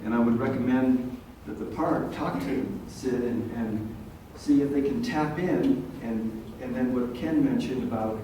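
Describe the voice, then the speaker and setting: Older guy giving a public comment, soft and halting, far off the mic in a big echoey room. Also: mid-range voice. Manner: soft-spoken, rambling with pauses. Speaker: resident speaking at a public meeting. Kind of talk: public comment at a community meeting. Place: large echoey meeting room. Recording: echoey room, distant mic, faint hiss.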